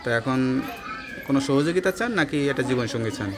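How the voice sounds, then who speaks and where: A man's voice: medium pitch, expressive, fast, music in the background. A man with a Bangladeshi accent conducting an informal interview as the presenter.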